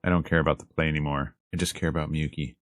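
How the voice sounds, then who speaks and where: A man with an extremely deep voice reads a visual novel aloud. His narration is measured and dramatic, with emphasis on the emotional lines. Music plays softly behind him. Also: fairly even intonation, dry recording. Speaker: let's player reading a visual novel aloud. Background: game music.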